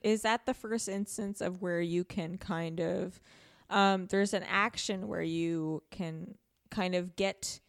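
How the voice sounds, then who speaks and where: A woman's voice: fairly low pitch, steady tone, takes it slowly, vocal fry, dry recording, clean studio sound. A woman podcast co-host chatting casually and thinking aloud. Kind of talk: casual chat.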